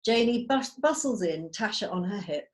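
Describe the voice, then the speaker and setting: Woman reading screenplay directions, mid-pitched. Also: expressive, normal speed, darkish tone. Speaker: stage directions reader. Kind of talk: scripted narration of screenplay directions. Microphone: video call.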